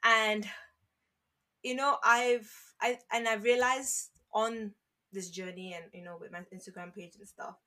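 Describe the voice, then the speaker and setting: A woman's voice: medium pitch, steady tone, a little slow, bright, forceful tone. A woman from Malaysia, a podcast guest, talking earnestly and rambling with "you know" and restarts. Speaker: podcast guest, founder of an online community page. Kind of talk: casual conversational interview.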